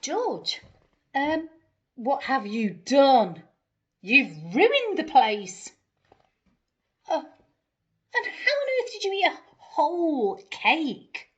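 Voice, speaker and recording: A woman's voice: high voice, very animated, drawn-out words, pauses often, dull audio, pitched above the performer's own voice, heavily acted. A woman voicing an exasperated but forgiving male dog owner, emphatic, in a children's storybook. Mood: dismayed scolding.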